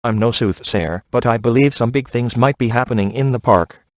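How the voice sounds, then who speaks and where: Actor as a wisecracking deadpan ghost hunter, dry recording. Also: male voice, mid-range voice, moderate pace, no echo, lightly acted. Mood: wry. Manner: deadpan, sarcastic. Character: wisecracking, smug male ghost hunter in a comic adventure. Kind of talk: video game voice acting.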